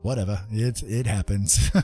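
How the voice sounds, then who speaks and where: Low-voiced man chatting on a livestream. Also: lively intonation, slow, faint music behind. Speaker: live streamer hosting a chat stream. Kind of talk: casual unscripted livestream chat.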